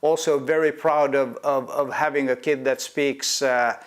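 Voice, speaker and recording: A man's voice: mid-range voice, fairly even intonation, measured pace, clean tone. A man hosting an interview in a casual, friendly chat, often repeating words as he finds them. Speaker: host.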